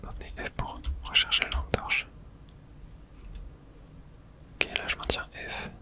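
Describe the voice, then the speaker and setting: An ASMR gamer speaking entirely in a breathy whisper. The delivery is a murmured running commentary on a game, made of short reactions to the action on screen. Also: a little slow, pushed and bright. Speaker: gaming ASMR streamer.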